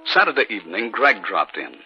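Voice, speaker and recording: An actor playing a steady husband caught in an eerie haunting, with deliberate, dramatic 1950s radio delivery. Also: male voice, on the low side, over loud music. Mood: grave, uneasy. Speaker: actor narrating and playing the lead. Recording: dull, noisy 1950s radio recording.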